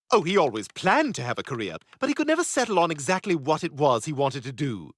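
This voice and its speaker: A man's voice: fairly high pitch, a bit bright, no room sound, clean studio sound. An actor voicing an arrogant, precocious baby boy with a posh British accent, talking in a smug, condescending and cutting way. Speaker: therapy patient. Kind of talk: animated sitcom voice acting.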